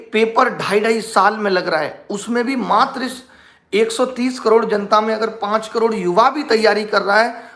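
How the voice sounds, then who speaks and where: A man's voice: sits high, gravelly, pushed and bright. Exasperated man in an echoey room. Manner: exasperated, sarcastic, rhetorical questioning. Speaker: exam-coaching teacher. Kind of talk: informal talk to students with a satirical mock phone call. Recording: roomy echo with music underneath.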